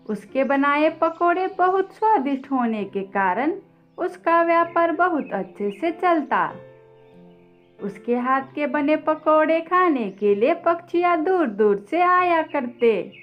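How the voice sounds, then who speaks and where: A woman's voice: high voice, dull audio. A woman narrating a scripted children's story as a storyteller.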